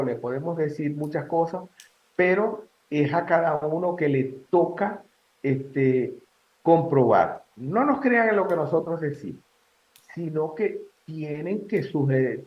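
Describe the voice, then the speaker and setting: A Venezuelan man in his sixties speaks in a measured, advising way, dropping "este" as he thinks. His voice sits a bit high for a man. The sound is roomy and dull, as if from an echoey space on a lo-fi line. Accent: Venezuelan Spanish. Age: 60s. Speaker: guest. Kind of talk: casual interview answers.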